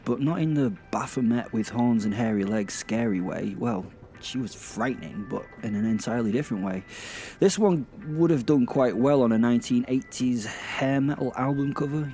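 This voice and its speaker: A man's voice: lowish voice, warm tone. A man playing a chatty, irreverent werewolf who narrates a comic horror audio drama in rambling, self-interrupting asides. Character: irreverent, chatty, starstruck male werewolf killer. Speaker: narrator and host. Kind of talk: first-person comic horror monologue. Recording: music behind the voice.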